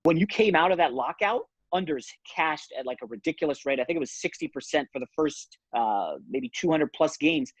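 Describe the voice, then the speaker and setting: A man's voice: on the high side, lively intonation, brisk, hardly any pauses, husky, no echo. A man giving blunt, casual sports and betting analysis as an interview guest, speaking conversationally.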